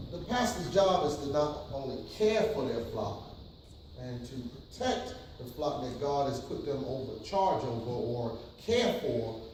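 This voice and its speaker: A man's voice: on the high side, darkish tone, far from the mic, a little hiss or hum. Hesitant man in an echoey hall. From New York City. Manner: hesitant, many ums, audience call-and-response. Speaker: pastor giving an insurance workshop. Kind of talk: casual church workshop talk. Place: church hall with audience.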